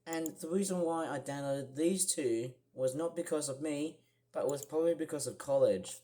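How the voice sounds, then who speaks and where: A young man with a croaky voice, chatting casually in a rambling vlog with many fillers and restarts. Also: a little high, moderate pace, warm tone. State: croaky, clearing throat. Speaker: vlogger. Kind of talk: casual screen-share vlog.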